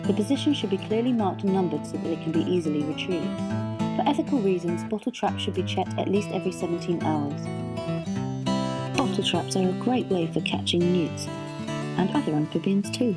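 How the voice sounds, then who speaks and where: A woman's voice: fairly low pitch, animated, fairly quick. A young woman reading a scripted instructional narration for a training film. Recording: voice-over under loud guitar music. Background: plucked guitar music.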